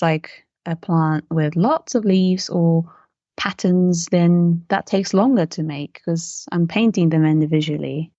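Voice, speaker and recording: Low-voiced woman, muffled audio. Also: dark tone, no room sound. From Japan. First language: Japanese. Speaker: interview guest, miniature artist. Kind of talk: casual interview chat.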